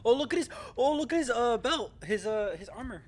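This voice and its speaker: A man's voice: very high voice, expressive, fairly quick, a little hiss or hum. A man giving casual, slangy, amused reaction commentary over gameplay. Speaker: gaming video creator.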